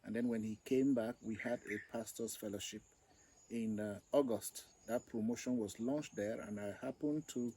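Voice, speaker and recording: A man from Cameroon, a ministry director, telling his story slowly and deliberately in an interview. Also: mid-range voice, fairly even intonation, mellow, husky, rough recording. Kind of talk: interview testimony.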